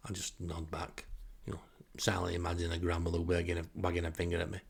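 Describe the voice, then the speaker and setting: Bloke telling his own daft story, sarcastic, bit of gravel in the voice. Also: low-pitched, completely flat delivery, quick pace. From northern England. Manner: sardonic, self-deprecating. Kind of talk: wry first-person anecdote, casual narration.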